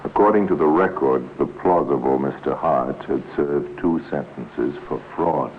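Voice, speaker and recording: A man narrating a 1950s radio crime drama in a grave, measured, deliberate manner. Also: extremely low pitch. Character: solemn storyteller narrating a police case. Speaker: narrator and host. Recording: tinny, noisy 1950s radio recording.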